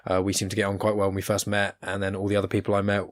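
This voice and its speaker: A man's voice: on the low side, completely flat delivery, racing, no echo. A man hosting a casual podcast chat, talkative and rambling as he speaks. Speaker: podcast host.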